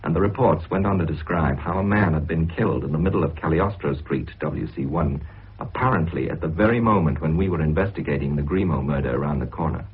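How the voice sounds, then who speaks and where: An actor playing a sober narrator of a radio mystery, deep and husky, telling it in a measured, grave way. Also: male voice, heavily acted. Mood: grave, suspenseful. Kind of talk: dramatic scripted narration. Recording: thin, phone-like radio recording.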